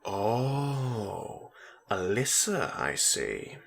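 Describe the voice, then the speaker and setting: A man's voice: on the low side, big pitch swings, drawn-out words, vocal fry, heavily acted. A man reading the storybook lines of a cheerful, easygoing teenage schoolboy. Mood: curious. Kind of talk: storybook dialogue in a dramatic reading.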